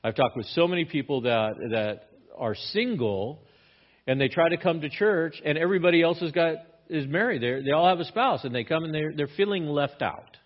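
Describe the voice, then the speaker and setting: A man's voice: on the high side, moderate pace, dull audio. A man pastor teaching a sermon verse by verse in a conversational manner, often restarting his words.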